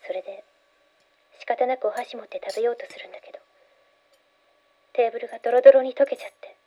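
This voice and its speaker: An actress playing a troubled young woman in a radio drama, hushed and dreamy as she recounts visions under hypnosis. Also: fairly high pitch, steady tone, quick pace, close to an everyday voice. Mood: hushed, haunted. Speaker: voice actor. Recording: dull audio drama recording.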